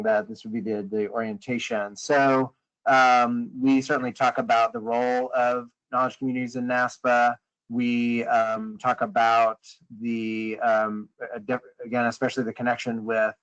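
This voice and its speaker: A man leading a conference call, briefing informally in a hesitant, halting way and often rephrasing mid-sentence. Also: mid-range voice. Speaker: meeting leader on a conference call. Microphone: conference call line. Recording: dull, lo-fi conference call audio.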